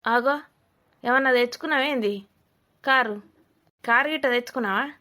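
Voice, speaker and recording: A woman's voice: a little high, normal speed, pushed and bright. A woman voicing a suspicious, scolding old village woman in a cartoon comedy, with a Telangana accent, blunt and mocking. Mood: mocking, accusing. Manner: blunt, mocking, rambling accusations.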